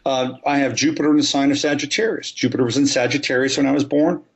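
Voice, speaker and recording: A man's voice: mid-range voice, fairly even intonation, quick pace, lo-fi sound. A man, an astrologer guest on a podcast, explaining his subject methodically and step by step. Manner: methodical, talks through examples step by step.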